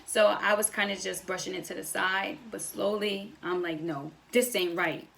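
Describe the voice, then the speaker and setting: A woman's voice: medium pitch, steady tone, moderate pace, creaky, fairly bright tone. A young woman telling a personal story in a casual vlog style, acting out bits of conversation as she goes. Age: young adult. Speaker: vlogger.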